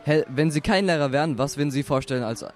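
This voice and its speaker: A man's voice: a little high, quick pace, faint music behind. A young male student interviewing a teacher outdoors, reading prepared questions with frequent hesitations. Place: outdoors at a street festival.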